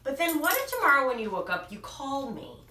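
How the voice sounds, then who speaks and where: A woman voicing a calm, comforting mother monster who answers her child in a soft, reassuring way. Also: high voice, fairly quick, recorded from a distance, voice pushed up, heavily acted. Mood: soothing. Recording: echoey room, voice off-mic.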